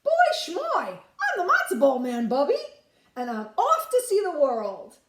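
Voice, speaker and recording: A woman's voice: high voice, huge pitch swings, measured pace, voice pushed up, full character voice. A woman voicing a cocky little runaway dumpling boy from a children's storybook, boasting and taunting in singsong, in a roomy space. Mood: boastful. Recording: roomy, echoing room sound.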